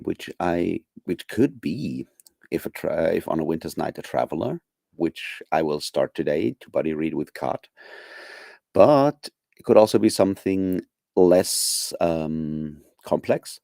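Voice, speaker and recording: Man, low mellow voice, casual rambling streamer chat, dry close mic. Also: slow pace, vocal fry, no room sound. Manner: rambling, many fillers and restarts; chuckles often. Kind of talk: casual chat to live viewers.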